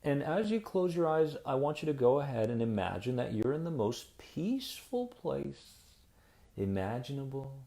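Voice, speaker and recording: A man hosting a live stream as an anxiety coach, upbeat and encouraging as he answers viewer comments, then slow and soothing in a guided visualization. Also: medium pitch, very animated, vocal fry, dark tone. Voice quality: soothing radio-style voice.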